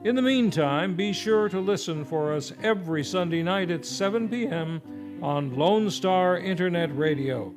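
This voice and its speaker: A man's voice: sits high, moderate pace. A man hosting a live radio theater show, reading a formal, theatrical sign-off in old-time announcer style. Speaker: series host and announcer. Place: theater stage, live broadcast. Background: live music.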